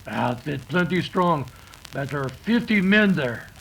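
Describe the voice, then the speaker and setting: An actor playing a loyal, plain-spoken sidekick in an old-time radio western, talking in clipped pidgin English. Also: male voice, a little high, lively intonation, takes it slowly, gravelly, very noisy, full character voice. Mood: reassuring.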